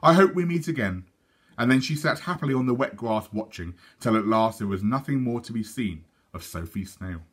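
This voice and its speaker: A man reading a children's story aloud like a teacher, in a measured, expressive way. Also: lowish voice, husky. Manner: measured, animated.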